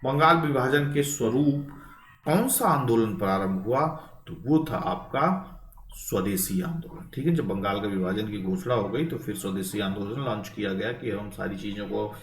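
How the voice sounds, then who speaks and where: A man's voice: lowish voice, moderate pace. A man teaching history quiz questions for exam preparation, posing each question, answering it and often checking in with listeners. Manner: asks questions then answers them, frequent check-in tags. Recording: echoey room, distant microphone.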